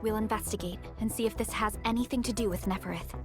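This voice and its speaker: An actress playing a composed, vigilant female team leader in a sci-fi game, with a measured, authoritative manner. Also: mid-range voice, steady tone, over music, natural voice. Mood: calm, measured. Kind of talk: video game voice acting.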